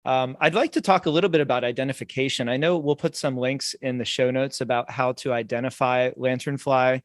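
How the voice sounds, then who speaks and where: A man's voice: mid-range voice, fairly even intonation, a little fast, no echo, studio quality. Chatty American man hosting a podcast. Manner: friendly, chatty, many fillers. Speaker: podcast host. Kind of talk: casual interview hosting.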